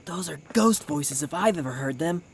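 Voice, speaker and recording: A man's voice: fairly high pitch, expressive, moderate pace, a little hiss or hum, pitched above the performer's own voice. A man voicing an earnest, eager boy detective in a comic adventure story. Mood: matter-of-fact.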